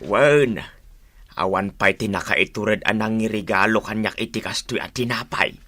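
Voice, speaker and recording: Bright-voiced older Ilocano father. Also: lowish voice, normal speed, no echo. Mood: pleased, appreciative. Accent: Northern Philippine Ilocano. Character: hearty older father fond of homemade bread. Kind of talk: Ilocano radio drama acting.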